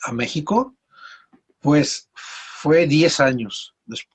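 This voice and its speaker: A man from Mexico with a Mexican accent, telling stories casually as an interview guest in a rambling, halting way with repeated words. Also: on the high side, lively intonation, husky. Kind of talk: casual conversational storytelling.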